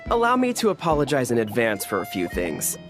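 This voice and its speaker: A man's voice: medium pitch, huge pitch swings, brisk, music in the background. A man playing a polite, helpful resort receptionist in a video game, with formal, courteous customer-service patter. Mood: apologetic. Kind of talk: scripted video game dialogue.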